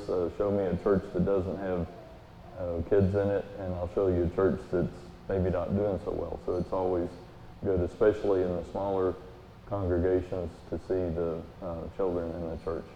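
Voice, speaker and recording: A man with a booming voice giving a guest sermon in a church, telling stories in a folksy, relaxed way with many fillers. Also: moderate pace, a little hiss or hum. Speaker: guest speaker. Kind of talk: sermon with personal story. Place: church sanctuary. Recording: echoey hall, tinny phone-like sound.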